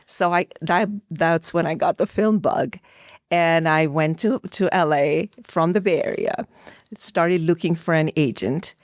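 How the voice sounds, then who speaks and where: A woman's voice: low-pitched, unhurried, no room sound. Middle-aged woman telling her story, dry sound. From India. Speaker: podcast guest, actor. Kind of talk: conversational interview storytelling.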